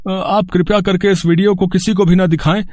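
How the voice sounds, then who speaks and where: A man's voice: high voice, fairly even intonation, a little fast, a little mellow, no room sound, studio quality, close to an everyday voice. A man playing a distressed, earnest father in a cartoon moral story, speaking emotionally. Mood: embarrassed, apologetic. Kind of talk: animated moral story dialogue.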